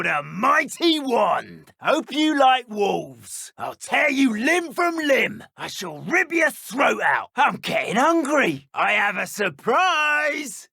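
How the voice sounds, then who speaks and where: An actor playing a menacing, ruthless British dark wizard poacher in a video game, rough-voiced, taunting and threatening. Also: male voice, high-pitched, no echo, studio quality, heavily acted. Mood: gleeful menace. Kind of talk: video game combat voice lines.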